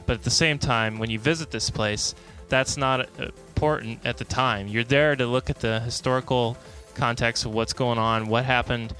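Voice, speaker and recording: Bright-voiced American man podcasting. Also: mid-range voice, fairly even intonation, moderate pace, few pauses, soft background music. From United States. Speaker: podcast co-host. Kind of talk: casual conversational podcast talk.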